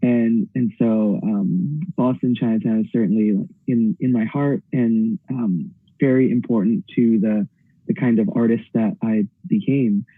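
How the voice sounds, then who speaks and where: A man's voice: lowish voice, a little slow, breathy edge, no room sound. Give me an upbeat, eager man from Hawaii, a performer dialing in remotely, speaking with many fillers over a tinny, phone-like line with a dry sound. His introduction should sound enthusiastic. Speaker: poet and performer. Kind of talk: panel self-introduction.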